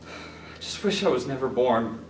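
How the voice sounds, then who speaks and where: A man performing a stage monologue as an anguished, self-pitying lovelorn neurotic with a darkly wry streak and emphatic, halting delivery. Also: medium pitch, lively intonation, darkish tone, lightly acted. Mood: despairing, morbid. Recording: roomy, distant mic, background noise.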